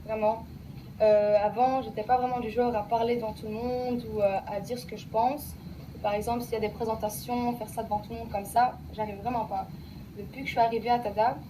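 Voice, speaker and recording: A woman's voice: on the high side, recorded from a distance, background noise. A young woman, a former student, speaking casually in a radio interview with many fillers about what she learned. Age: young adult. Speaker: alumna, guest.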